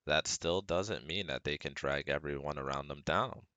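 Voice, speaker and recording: A man's voice: very deep voice, normal speed, bright, forceful tone, clean tone, no echo, highs cut off. A young man playing a caring, protective best friend and psychology student in a scripted ASMR roleplay, speaking earnestly and reassuringly to the listener. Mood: reassuring, analytical. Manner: reassuring, earnest, talks directly to a close friend.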